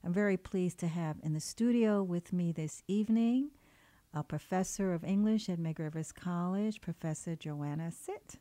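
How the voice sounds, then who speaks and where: Mellow-voiced woman radio host. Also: on the low side, moderate pace. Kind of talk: radio interview. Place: radio studio.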